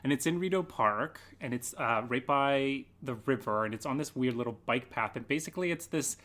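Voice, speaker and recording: A man's voice: mid-range voice, brisk, fairly bright tone. A man chatting casually as an interview guest, explaining things informally and saying "like" often. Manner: informal storytelling with frequent "like". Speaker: guest theatre artist.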